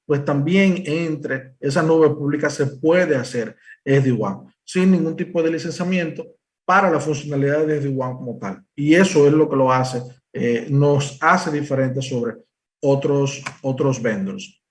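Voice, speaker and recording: A man with a Dominican Spanish accent presents a technical product talk, walking listeners through each idea. His voice sits a little high for a man. He leans on fillers and restarts his sentences often. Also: unhurried. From Dominican Republic. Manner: explanatory, filler-heavy with frequent restarts. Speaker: presales engineer presenting.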